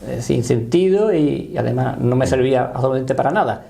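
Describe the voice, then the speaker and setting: A man in his sixties with a Spanish accent explains and recounts his experience in a halting, conversational way, often checking he is understood. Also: medium pitch, animated, gravelly, warm tone. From Spain. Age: 60s. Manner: halting, often seeking confirmation. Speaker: interview guest, paranormal voice researcher.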